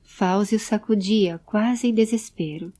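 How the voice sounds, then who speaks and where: A woman's voice: mid-range voice, a little slow, dark tone. A woman narrating a scripted audio drama. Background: background music.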